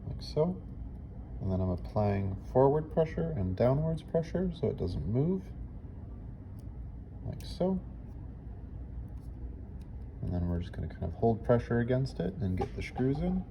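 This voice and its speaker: A man's voice: medium pitch, very animated, a little slow, gravelly, long pauses, highs cut off, background noise. A man giving a casual, unscripted how-to, talking through each step as he works with his hands. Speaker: repair technician giving a tutorial.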